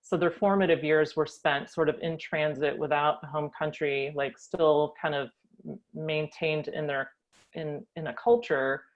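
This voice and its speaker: An American woman telling her family story as a guest, thoughtful with frequent pauses and ums. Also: sits low, steady tone, muffled recording. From United States. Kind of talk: reflective personal storytelling.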